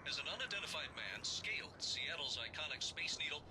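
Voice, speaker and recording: An actor playing a TV news anchor, in formal broadcast delivery for a video game. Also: male voice, fairly high pitch, animated, fairly quick, noisy background, lightly acted. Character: TV news anchor reporting breaking news.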